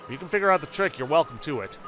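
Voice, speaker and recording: A man's voice: fairly high pitch, lively intonation, rapid-fire, a bit bright, faint music behind. A man voicing a cheerful, joshing hobo from a video game, with folksy, jovial phrasing. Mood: friendly, folksy. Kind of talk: read-aloud game character dialogue.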